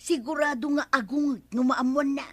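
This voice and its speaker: An actress with an Ilocano accent playing a crafty village wife with a gambling habit in a radio comedy drama. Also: female voice, high-pitched, fairly even intonation, moderate pace, no room sound, close to an everyday voice. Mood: defensive.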